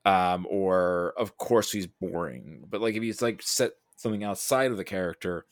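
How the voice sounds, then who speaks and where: A man talking casually about films, thinking aloud in a halting, animated way with self-quoting asides. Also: deep voice, no echo, clean studio sound. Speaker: podcast guest discussing film. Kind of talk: casual film discussion.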